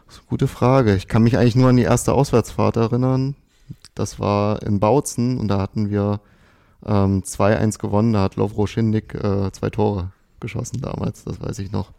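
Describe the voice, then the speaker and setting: A man from Saxony-Anhalt, a physiotherapist guest answering questions in a relaxed, hesitant way. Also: fairly low pitch, moderate pace, vocal fry. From Saxony-Anhalt, Germany. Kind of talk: casual interview answers.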